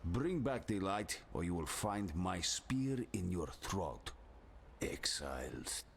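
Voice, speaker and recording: An actor playing a hostile, accusing warrior in a fantasy video game, with a gravelly, threatening voice. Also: male voice, low-pitched, big pitch swings, takes it slowly, a little hiss or hum, full character voice. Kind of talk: scripted video game dialogue.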